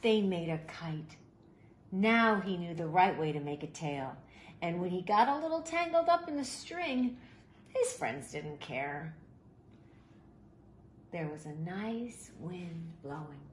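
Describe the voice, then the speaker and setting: Animated woman reading a storybook, roomy sound. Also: on the low side, slow, long pauses. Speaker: storybook reader. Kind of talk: expressive read-aloud of a children's picture book. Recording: roomy echo, faint background noise.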